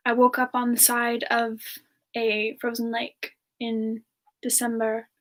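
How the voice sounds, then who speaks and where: High-voiced, playful demon siren played by a woman. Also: monotone, slow. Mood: musing. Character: playful female demon siren Frostbite, affectionate and coy. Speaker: host answering audience questions in character. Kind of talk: semi-ASMR in-character Q&A.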